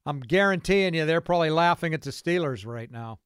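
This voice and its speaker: A man's voice: a little high, animated, a little fast, fairly bright tone, clear, resonant tone, dry recording, studio quality. An American man hosting a casual sports call-in radio show. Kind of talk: casual sports talk with callers.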